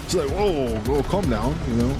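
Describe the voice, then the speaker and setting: A male gaming streamer giving a live reaction. He sounds laid-back and informal, rambling casually at a middle pitch, with music clearly audible behind his voice. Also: animated, normal speed.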